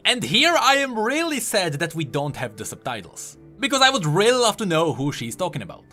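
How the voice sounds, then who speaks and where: A man's voice: high voice, wildly swooping pitch, brisk, sharp tone. A man hosting a video game lore explainer, narrating from a script with emphatic, dramatic storytelling delivery. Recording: music bed under voice-over. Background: background music.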